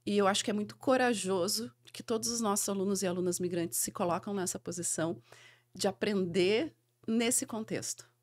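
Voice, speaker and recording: A woman's voice: mid-range voice, a little fast. A woman university professor talks as a guest in a podcast interview. She speaks earnestly and reflectively, thinking aloud as she goes. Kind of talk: conversational interview.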